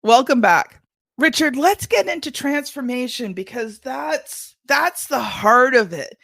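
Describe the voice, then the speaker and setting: A woman's voice: sits high, lively intonation, a little slow, vocal fry, fairly bright tone, no echo. Host: woman interviewer, dead-dry room, gives encouraging prompts. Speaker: podcast host. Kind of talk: interview hosting with ad read.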